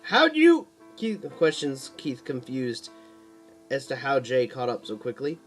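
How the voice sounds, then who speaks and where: A man's voice: mid-range voice, unhurried, soft background music. A man reading his own book aloud in scripted narration, stumbling over and re-reading the odd word. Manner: stumbles and re-reads words.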